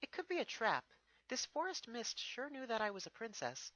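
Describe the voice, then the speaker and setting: A studious young pony princess, played by a male reader, high-pitched and bright. She is earnest and careful, reasoning things through with curiosity in a cartoon fantasy story. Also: huge pitch swings, normal speed, dry recording, full character voice. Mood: wary.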